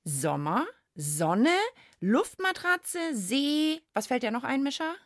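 A woman hosting a playful children's science radio show, speaking directly to young listeners in an animated way. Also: on the high side, slow, dry recording, studio quality.

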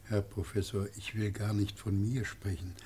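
An actor playing a grave, sober man at a deathbed in a radio drama, speaking in a measured way. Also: lowish voice, little pitch movement, dark tone, slight background noise, close to an everyday voice. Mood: grave, restrained.

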